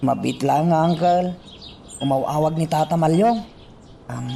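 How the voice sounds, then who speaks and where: A man playing an earnest young son in a radio drama, speaking gently and slowly. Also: on the high side, creaky, a little hiss or hum. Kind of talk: amateur radio drama acting.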